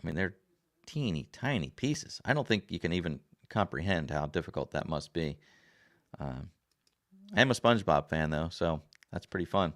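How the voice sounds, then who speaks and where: A man with a very deep, raspy voice chats in a folksy, rambling way as he reads viewer comments on his livestream. The sound is dry and close, with no room echo, as if he is sitting right at his desk mic. His throat is rough from a recent sore throat, and he fills the pauses with easy "uh"s and "um"s. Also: expressive. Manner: rambling and folksy, many fillers. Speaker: livestream host. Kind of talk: casual chat reading viewer comments. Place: home desk. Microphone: desk camera.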